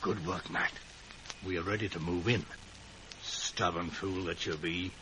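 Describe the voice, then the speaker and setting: An actor in a 1950s radio drama playing a gruffly fond, teasing police officer with a wry manner. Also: male voice, sits low, steady tone, measured pace. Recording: noisy vintage radio recording.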